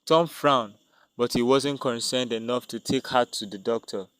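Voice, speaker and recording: A man's voice: medium pitch, normal speed, a bit bright, clean tone, dry recording, rough recording. A man narrating a dramatic scripted tale as a storyteller.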